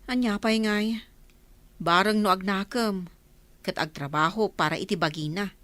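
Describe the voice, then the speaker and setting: A woman playing a gentle, long-suffering village mother in a radio comedy-drama, humble, pleading and motherly. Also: mid-range voice, unhurried, no echo, close to an everyday voice. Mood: motherly, concerned.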